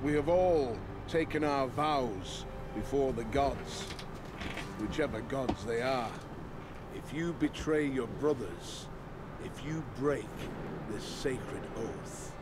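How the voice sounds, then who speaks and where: Stern old commander, slow and grave. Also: male voice, medium pitch, very noisy. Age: older. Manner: grave, deliberate, oratorical. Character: stern old lord commander addressing his sworn brothers. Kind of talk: solemn scripted speech in a fantasy game. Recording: game audio with clear background ambience.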